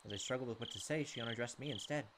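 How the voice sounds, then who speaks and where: A man's voice: mid-range voice, rapid-fire, very noisy. A young man reading visual novel text aloud and commenting as a let's play host. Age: young adult. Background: game music.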